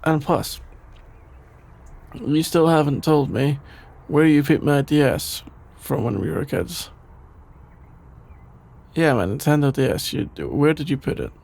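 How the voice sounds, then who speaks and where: A man playing a shy, sleepy young best friend in an ASMR roleplay, stammering, hesitant and evasive in a creaky, drowsy voice. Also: fairly high pitch, lively intonation, unhurried, pauses often, darkish tone, heavily acted. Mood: defensive, teasing.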